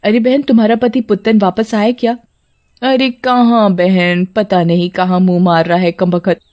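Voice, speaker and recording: A woman's voice: mid-range voice, flat delivery, normal speed, a little mellow, no room sound, dull audio, lightly acted. A woman voicing a young village wife with a colloquial, complaining manner in a cartoon story.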